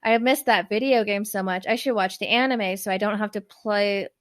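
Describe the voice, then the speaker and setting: A woman's voice: medium pitch, steady tone, moderate pace. A woman co-hosting a podcast, retelling a true crime case in casual chat, rambling with lots of "like"s and tripping over long words. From New York. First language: Spanish. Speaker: podcast co-host.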